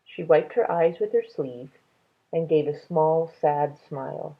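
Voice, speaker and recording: A woman storyteller reads a children's novel in a low voice with a gravelly creak. Her delivery is slow and measured, and the recording sounds thin and tinny, like a narrow band. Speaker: read-aloud storyteller. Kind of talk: reading a children's novel aloud.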